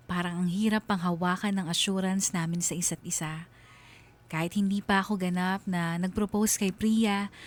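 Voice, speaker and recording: A man's voice: high-pitched, close to an everyday voice. An actor playing a troubled young boyfriend who narrates his love story in a reflective, confessional way for a radio drama. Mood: doubtful.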